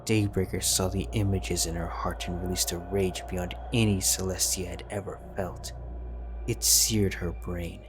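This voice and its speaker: A man narrating a fanfic audiobook in a measured, grave storytelling manner. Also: sits low, little pitch movement. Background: background music.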